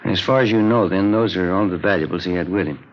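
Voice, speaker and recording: An actor playing a polite, low-key police detective partner, laconic, in a 1950s radio drama. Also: male voice, fast, close to an everyday voice. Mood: low-key, respectful. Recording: dull, noisy old radio recording.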